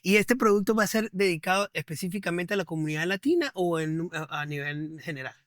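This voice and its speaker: A man's voice: high voice, lively intonation, a little fast, fairly bright tone, no echo, studio quality. A man hosting a podcast interview, asking questions and summing up answers, often stumbling over repeated words. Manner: eager, stumbling repetitions, rephrases answers. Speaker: podcast host.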